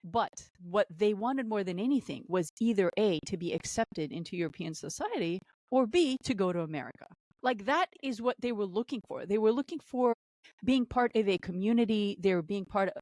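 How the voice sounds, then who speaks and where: A woman's voice: a little mellow, dry recording. Podcast guest, woman, Arabic speaker, heated and emphatic, dry close sound. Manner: emphatic, rising pitch when indignant, repeats phrases. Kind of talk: impassioned political commentary.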